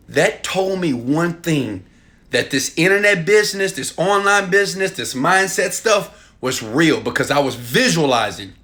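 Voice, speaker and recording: Bright, forceful man selling with motivational zeal. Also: a little high. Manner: emphatic, insistent, speaking directly to viewer. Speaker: online marketer pitching a program. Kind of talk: motivational sales pitch to camera.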